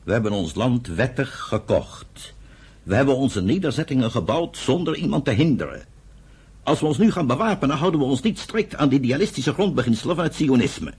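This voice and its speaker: Principled peacemaker, measured, deliberate, husky, expressive intonation, radio drama. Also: male voice, mid-range voice. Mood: grave, resolved. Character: thoughtful, principled older brother, peace-minded land buyer. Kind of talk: Dutch radio play acting.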